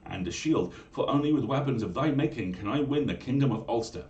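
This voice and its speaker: A man's voice: mid-range voice, lively intonation, takes it slowly, lo-fi sound, voice pushed up. A man voicing an earnest young hero who speaks in archaic words in a storybook reading. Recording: roomy room, distant mic.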